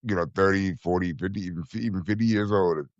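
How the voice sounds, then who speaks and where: A man's voice: sits low, fast talker, no room sound. A man in his thirties co-hosting a podcast, chatting casually in a laid-back, agreeable way. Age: 30s. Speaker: podcast co-host. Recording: dull, dry, lo-fi sound.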